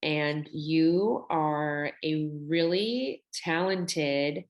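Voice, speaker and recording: A woman hosting a podcast interview, asking her questions slowly and deliberately. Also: low voice, highs cut off. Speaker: podcast host.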